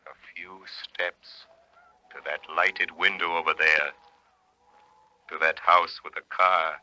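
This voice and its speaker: Grave radio avenger, super deep hard forceful voice, slow and accusing, old noisy recording. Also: male voice, fairly even intonation, full character voice. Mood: stern, accusing. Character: grave, commanding male avenger forcing a miser to witness suffering. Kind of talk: 1930s radio acting.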